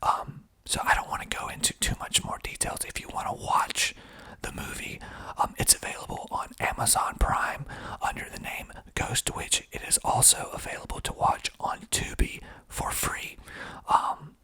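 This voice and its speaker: An American man from Georgia speaking in a breathy ASMR whisper, explaining things in a hesitant, unhurried way close to the microphone. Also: bright, forceful tone. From Georgia, United States. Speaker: host, filmmaker.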